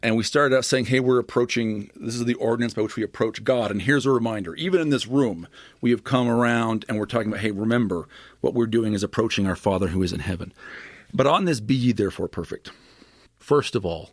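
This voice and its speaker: A man on a podcast as a guest, explaining scripture in an animated, expressive teaching manner with frequent restarts. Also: lowish voice, brisk, dry recording.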